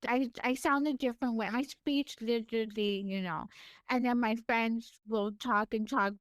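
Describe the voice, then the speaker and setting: A woman from California with a naturally high-pitched voice and a slight lisp, talking in a casual interview, her words effortful and a little slurred, with frequent laughs. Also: normal speed, clean tone, dry recording. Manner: animated, laughs often, pushes extra hard on words. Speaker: podcast guest.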